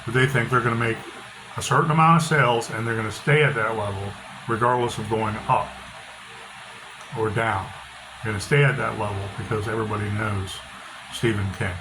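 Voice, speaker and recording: A middle-aged author talking casually with a loud, bass-heavy voice, blunt and opinionated, often repeating himself. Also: male voice, fairly even intonation, brisk. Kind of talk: casual monologue. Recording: noisy background hiss.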